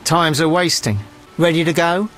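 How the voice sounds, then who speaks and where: An actor playing a brisk ferryman touting for trade in a fantasy game. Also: a little high, steady tone, a bit bright, soft background music, close to an everyday voice.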